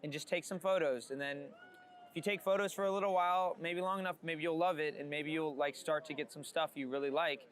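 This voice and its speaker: A man's voice: fairly high pitch, steady tone, fairly quick, a bit bright. A man, a street photographer being interviewed, talking in a casual, candid way.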